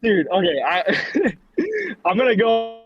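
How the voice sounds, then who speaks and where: A man's voice: high-pitched, lively intonation, measured pace, dull audio. A man co-hosting a podcast in casual chat, speaking from a car while driving. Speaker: podcast co-host.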